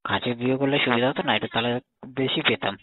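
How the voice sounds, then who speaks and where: Fast-talking Bengali man on tinny phone. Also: medium pitch, no echo. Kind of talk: casual intimate phone chat. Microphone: phone line. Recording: tinny narrowband phone call recording.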